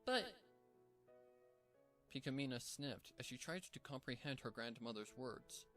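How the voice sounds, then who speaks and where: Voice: medium pitch, steady tone, normal speed, fairly bright tone. A narrator reading a fan fiction story as scripted storybook narration, with music behind the voice.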